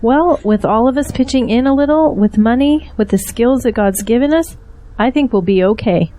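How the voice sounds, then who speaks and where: A woman's voice: fairly high pitch, normal speed, mellow, natural voice, low-quality recording. An actress playing a grateful, earnest middle-aged mother in an audio drama. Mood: earnest, hopeful.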